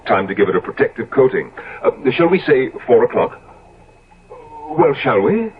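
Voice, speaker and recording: An actor playing an older, genial London portrait painter in a 1970s radio drama, effusive and earnest. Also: male voice, mid-range voice, sing-song, moderate pace, lightly acted. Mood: taken aback. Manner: effusive, exclamatory. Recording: noisy, narrow-band old radio recording.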